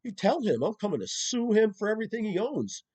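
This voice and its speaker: A man's voice: high-pitched, sing-song, brisk, a little mellow, husky. A man arguing as a caller in an insistent, repetitive and combative manner, full of legal jargon. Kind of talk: confrontational phone argument with legal jargon.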